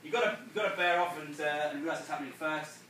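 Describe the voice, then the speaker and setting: Male sailing coach, casual, halting, keen tone; distant mic in a reverberant room. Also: fairly high pitch, bright, forceful tone. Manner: casual, explains with hesitations and restarts, laughs often. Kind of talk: debrief talk to a group. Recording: echoey room, from afar, faint noise.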